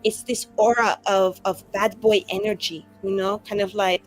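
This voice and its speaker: A woman's voice: mid-range voice, little pitch movement, moderate pace, soft background music, voice pushed down, close to an everyday voice, poor audio. A woman playing a tipsy, cocky, suspicious drinker in an improvised tabletop game, rambling in a theatrical, teasing way.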